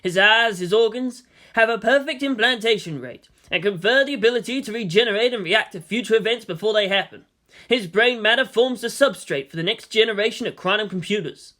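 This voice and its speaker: A man voicing a grave male general, measured and solemn, in a dramatic story reading. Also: high voice, sharp tone. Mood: grim, solemn.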